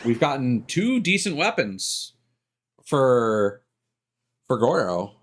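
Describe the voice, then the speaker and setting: A man's voice: mid-range voice, sing-song, slow. A man streaming a game in casual chat, reasoning things through aloud in an analytical way. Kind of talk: casual gameplay commentary chat. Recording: game music behind the voice. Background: video game music.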